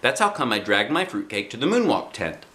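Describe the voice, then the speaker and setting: A man in his forties with an American accent, reading a children's book aloud in an animated storytelling style. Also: medium pitch, brisk. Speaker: storybook reader, dad. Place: small indoor room, on a sofa by a window.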